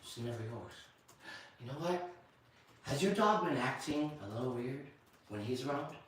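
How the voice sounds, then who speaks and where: A man voicing a scheming male fortune-teller who hustles a customer in low, hushed, ominous tones. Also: animated, moderate pace, lightly acted. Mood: sly, ominous. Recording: very echoey room, distant mic.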